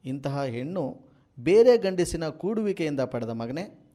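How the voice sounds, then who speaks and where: A man giving an explanatory narration in a measured, informative style. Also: fairly high pitch, sing-song, mellow.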